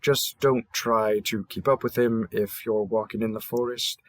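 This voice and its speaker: A man's voice: lowish voice, steady tone, dry recording, close to an everyday voice. A man playing a cheerful, polite dating-app host in a scripted audio roleplay, with a courteous, presenter-like delivery. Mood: wry, informative. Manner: courteous, measured, salesman-like welcome delivery.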